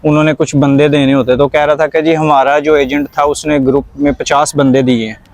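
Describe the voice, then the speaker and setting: A man's voice: on the high side, little pitch movement, a little fast, no room sound. A young Pakistani man with a Punjabi accent hosting a casual conversational vlog, mixing Punjabi and English words into his talk. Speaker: vlog host.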